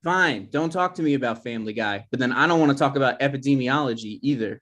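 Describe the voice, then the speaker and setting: A man's voice: medium pitch, quick pace, fairly bright tone, natural voice. A man playing a touchy, argumentative adult son in a comedy script table read. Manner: defensive, argumentative.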